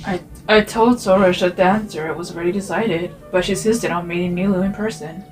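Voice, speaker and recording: A woman voicing an easygoing, sociable young man of the desert in a fantasy game fan dub, relaxed and conversational. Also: fairly low pitch, a little fast, soft background music, lightly acted, rough recording. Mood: casual, thoughtful.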